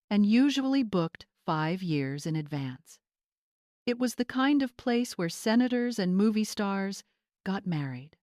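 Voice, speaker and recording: A woman's voice: mid-range voice, a little mellow, dry recording, clean studio sound. A woman narrator reading a scripted dramatic story in audiobook style.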